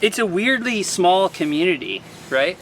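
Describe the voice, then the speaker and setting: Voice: medium pitch, animated, unhurried, fairly bright tone, a little hiss or hum. A podcast host interviewing a guest in casual conversation, asking questions with wry, teasing remarks. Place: inside a van.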